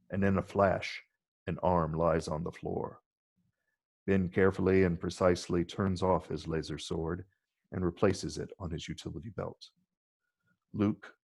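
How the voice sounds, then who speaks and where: A man with a gravelly, husky voice reading stage directions as scripted narration. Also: sits low, a little mellow.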